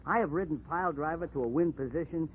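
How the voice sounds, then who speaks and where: An actor playing a cocky, touchy little ex-jockey, talking in puffed-up, mock-formal slang in a 1940s radio drama. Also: male voice, fairly high pitch, fast, close to an everyday voice. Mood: earnest, pleading. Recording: tinny, faintly noisy old radio recording.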